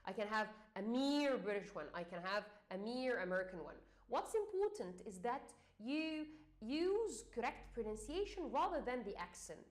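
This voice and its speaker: Egyptian-accented woman teacher, slightly high voice, halting explanatory delivery. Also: very animated. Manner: hesitant, many fillers, repeats and restarts. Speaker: English exam teacher.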